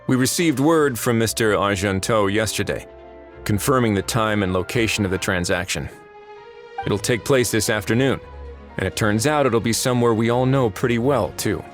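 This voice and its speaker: Low-voiced, easygoing male investigator played by an actor. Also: moderate pace, close to an everyday voice. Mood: businesslike. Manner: relaxed, conversational, wry. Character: easygoing, wry male investigator briefing his allies. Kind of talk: video game voice acting. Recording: background music under the voice.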